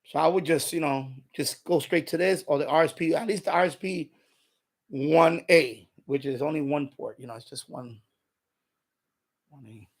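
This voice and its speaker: A man with a fairly high voice and a noticeable creak chats off the cuff as a livestream host. He is opinionated and emphatic, often saying the same phrase over again to press his point. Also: moderate pace. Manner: repeats himself for emphasis. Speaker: live stream host. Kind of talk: unscripted radio hobby livestream chat.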